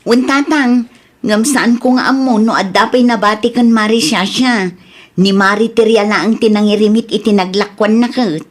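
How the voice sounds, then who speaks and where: Woman acting high-voiced, worried young-sounding daughter. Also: steady tone, normal speed, lightly acted. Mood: earnest. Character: worried, earnest adult daughter pressing her friend. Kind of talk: Ilocano radio drama acting.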